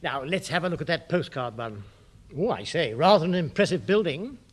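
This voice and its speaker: An actor playing an eager, dim junior English civil servant in a radio sitcom, boyishly keen and prone to stammer. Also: male voice, fairly high pitch, animated, fairly quick, lightly acted. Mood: eager, bumbling. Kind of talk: British radio sitcom acting. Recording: noisy old radio comedy recording.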